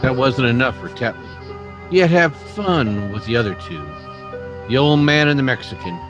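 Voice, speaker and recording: A man plays a brooding storyteller in a radio western. His medium-pitched voice moves expressively and gravely, with music behind it. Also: lively intonation, normal speed, dull audio, natural voice. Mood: grave, uneasy. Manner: grave, dramatic. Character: brooding western narrator who witnessed the events. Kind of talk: radio drama narration.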